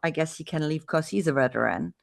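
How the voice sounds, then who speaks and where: German-accented woman host, lowish voice, chatty over a video call, restarts often. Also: fairly quick, gravelly. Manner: chatty, frequent restarts and fillers. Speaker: podcast host. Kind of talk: casual video-call interview.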